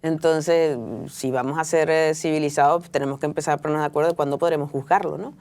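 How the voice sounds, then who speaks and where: Woman, very low voice, thoughtful interview talk, hesitant restarts. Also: steady tone, quick pace, darkish tone. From Venezuela. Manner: reflective, frequent restarts and fillers. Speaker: author and guest. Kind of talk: interview conversation.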